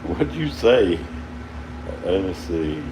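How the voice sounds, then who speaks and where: A man's voice: sits low, takes it slowly. Man with an Oklahoma drawl, laid-back. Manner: rambling, laid-back, chuckles often. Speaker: towboat worker livestreaming. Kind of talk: casual livestream chat with viewers. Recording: steady air conditioner hum behind.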